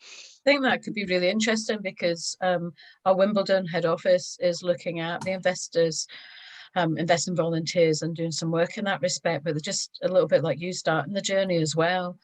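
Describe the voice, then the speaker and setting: A woman speaking for a charity on a video call, measured and agreeable. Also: lowish voice, steady tone.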